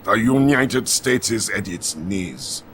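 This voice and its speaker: An actor playing a pompous older Soviet premier, making grandiose declamations in a game cutscene. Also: male voice, lowish voice, lively intonation, slow pace, very noisy. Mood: proud, commanding. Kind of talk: oratorical video game cutscene acting.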